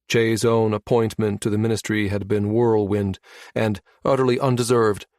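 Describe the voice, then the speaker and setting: A man's voice: on the low side, level tone, moderate pace, darkish tone, no room sound, studio quality. A man reading a science fiction novel as scripted narration. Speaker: audiobook narrator.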